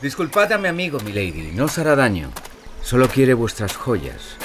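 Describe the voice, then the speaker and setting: An actor playing a noble, defiant and gallant outlaw leader in a Spanish audio drama, commanding and courtly. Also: male voice, mid-range voice, big pitch swings, normal speed, slight background noise, natural voice. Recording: dramatic music behind the voice.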